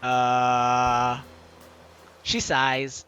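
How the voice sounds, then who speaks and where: A man's voice: mid-range voice, monotone, extremely slow, a bit bright, dull audio, soft background music. A man hosting a game playthrough, reading the text aloud and breaking off into playful, teasing, exclamatory commentary for his audience. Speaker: let's-play host.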